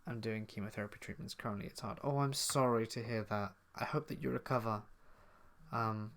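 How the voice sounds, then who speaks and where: A man's voice: lowish voice, fairly even intonation, fairly quick, a bit bright. A man from the south of England with a southern English accent, hosting a live stream Q&A and casually reading out viewer comments before answering them. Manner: casual, chatty, reads comments aloud then answers. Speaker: horror story channel host.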